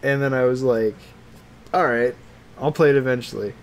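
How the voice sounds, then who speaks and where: A man co-hosting a casual podcast chat about games and films, talking in a halting, meandering way that trails off. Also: medium pitch. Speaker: podcast co-host.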